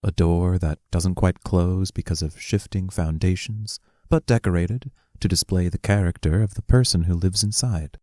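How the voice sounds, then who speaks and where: A man reading stage directions for an audio play in a measured, descriptive way. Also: low voice, darkish tone, no echo, studio quality. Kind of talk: scripted narration of an audio play.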